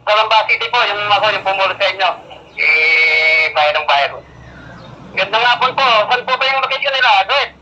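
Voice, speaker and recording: Male radio operator, high and piercing, polite casual chat, muffled radio sound. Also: a little fast, pushed and bright. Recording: dull lo-fi two-way radio.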